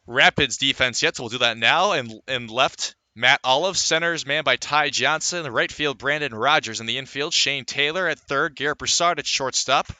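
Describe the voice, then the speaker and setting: A man's voice: fairly high pitch, bright, forceful tone, no echo. A man calling live baseball play-by-play in a radio commentary style, filling gaps with player statistics.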